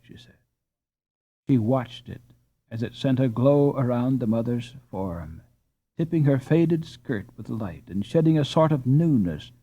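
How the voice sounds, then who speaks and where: Slow mellow male storybook narrator. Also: medium pitch, breathy edge, dull audio. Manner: measured, unhurried reading. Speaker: audiobook narrator.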